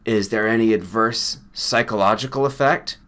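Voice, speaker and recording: A man puts on an impatient research partner for a storybook reading. The delivery is brusque and insistent, with forceful, snapping phrases. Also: on the low side, moderate pace, close to an everyday voice.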